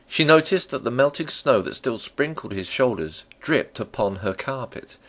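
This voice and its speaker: A man narrating a classic novel as a dramatic reading, with theatrical, deliberate phrasing. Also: mid-range voice, animated, fairly bright tone. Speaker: audiobook narrator.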